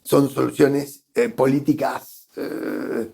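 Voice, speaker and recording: An Argentine man with a gravelly, mellow voice, talking as an interview guest. He reasons his way forward and stumbles over repeated words as he looks for the right phrase. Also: mid-range voice, fairly even intonation, slow. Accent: Argentine Spanish. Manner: thoughtful, repeats words while searching for phrasing. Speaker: guest economist. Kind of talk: conversational interview.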